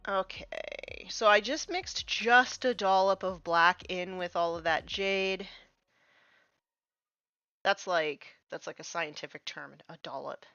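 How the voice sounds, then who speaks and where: A woman's voice: lowish voice, takes it slowly, bright, forceful tone, clean tone, no room sound, faint music behind. Young woman stream host, sniffly, rambling chatty delivery, muffled lo-fi dry audio. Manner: chatty, loose, many fillers. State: slightly sniffly, coming down with a cold. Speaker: stream host painting miniatures. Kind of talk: casual live-stream chat while working.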